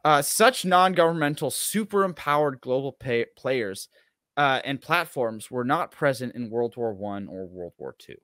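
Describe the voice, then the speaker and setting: A man's voice: medium pitch, animated, normal speed, a bit bright, no echo. A man in his thirties with a mid-range voice, recorded dry with very little room sound. He talks casually and reads aloud, slipping into mocking, sarcastic asides as a show co-host. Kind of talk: casual political chat, reading an article aloud with asides. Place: small room at home, on a video call stream.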